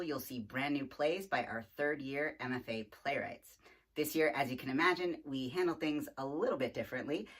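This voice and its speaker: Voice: mid-range voice, fairly bright tone. An artistic director and host giving a prepared welcome speech to camera for an online theater festival.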